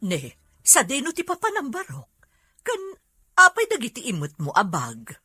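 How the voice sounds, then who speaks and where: A nagging mother played by a woman in an audio drama. She sounds husky, sharp and demanding, recorded very dry. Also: fairly high pitch, wildly swooping pitch, moderate pace, dry recording, faint music behind, heavily acted. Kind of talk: amateur Filipino audio drama acting.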